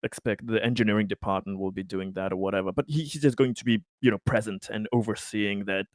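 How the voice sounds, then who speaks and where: A man's voice: lowish voice, steady tone, fast talker, dry recording, studio quality. A man playing a tabletop game, casually and hesitantly explaining what his character does, in a somewhat apologetic manner. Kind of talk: casual chat about in-game actions.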